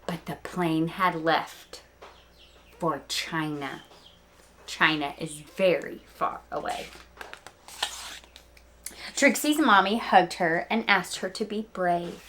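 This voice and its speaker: A woman reading a picture book aloud to young listeners, expressive and speaking to them directly with small asides. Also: fairly low pitch, slow pace, pauses often, a little hiss or hum. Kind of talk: children's read-aloud storytelling with asides.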